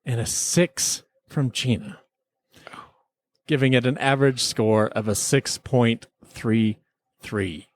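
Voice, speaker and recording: A man's voice: medium pitch, dry recording. A man hosting a podcast, delivering scripted lines in a mock-formal, announcing manner. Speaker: podcast host. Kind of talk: scripted comic courtroom-style podcast narration.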